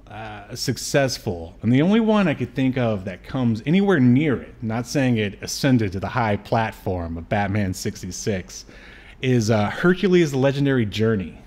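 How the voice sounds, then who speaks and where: A man's voice: mid-range voice, expressive, measured pace, darkish tone. A middle-aged man chatting casually as a podcast host, talking from a room at home over a video call. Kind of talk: casual chat on a film review stream.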